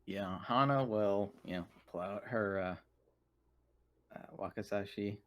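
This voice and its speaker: A man's voice: lowish voice, unhurried. A man talking casually as a player in a tabletop roleplaying game.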